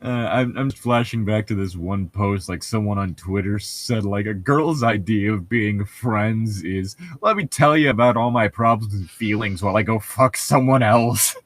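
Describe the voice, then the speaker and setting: A man's voice: lowish voice, normal speed, hardly any pauses. A man hosting a casual, joking online chat, opinionated and swearing freely. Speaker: host of an online channel.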